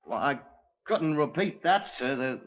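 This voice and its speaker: An actor playing a simple-minded, deferential young London spiv, hesitant and polite, in a 1950s radio drama. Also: male voice, fairly high pitch, expressive. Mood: slow, cautious. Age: young adult. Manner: slow-witted, hesitant, polite working-class speech. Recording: muffled old radio recording.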